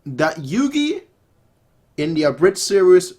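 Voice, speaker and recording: Lively man reacting to a video. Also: high-pitched, slow. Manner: excitable, repeats himself. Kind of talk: casual reaction commentary over a video.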